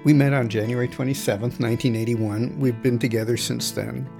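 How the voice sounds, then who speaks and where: A man with a gravelly, creaky texture recounts his memories in an even, thoughtful way. Faint guitar music plays under his voice. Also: mid-range voice. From United States. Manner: reflective, measured. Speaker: interviewee. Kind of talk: personal storytelling.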